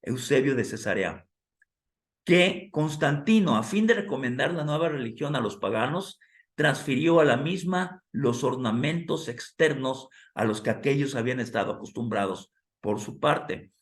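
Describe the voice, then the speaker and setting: A hoarse man teaching a theology class. He asks the students questions and calls them "amados" like a preacher would. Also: mid-range voice, lively intonation, moderate pace, roomy sound. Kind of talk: classroom lecture with preacher cadence.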